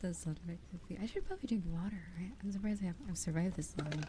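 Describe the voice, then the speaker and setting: A young adult woman whispering close to the mic as an ASMR streamer, rambling and giggling as she chats with her live viewers, her voice a little raspy. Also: fairly low pitch, racing, background noise. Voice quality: slightly raspy. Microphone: close sensitive ASMR microphone. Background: rain sounds.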